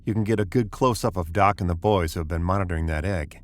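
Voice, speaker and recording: Low voiced grim spaceship captain guy acting it, terse and in charge, old radio sci-fi style. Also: quick pace, lightly acted. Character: commanding male spaceship captain, grim and urgent. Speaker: actor and story narrator. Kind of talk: old-time radio science fiction drama acting.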